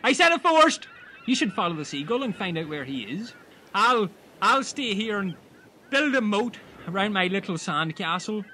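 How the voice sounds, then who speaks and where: A man's voice: high-pitched, very animated, moderate pace, bright, forceful tone, a little hiss or hum, full character voice, rough recording. A man playing a bossy, smug know-it-all castaway in an improvised comedy audio drama.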